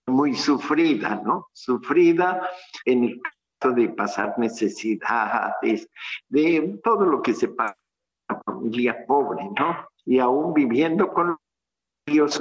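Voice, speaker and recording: A man, a priest telling his life story in a radio interview, speaking haltingly and reflectively over a dull remote line. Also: mid-range voice. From Colombia.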